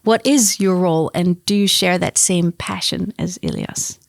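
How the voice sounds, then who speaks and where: A woman's voice: lowish voice, measured pace, vocal fry, dry recording, studio quality. A woman hosting a podcast interview, asking questions with eager reactions. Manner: enthusiastic, warm interviewer with reactions like "wow". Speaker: podcast host.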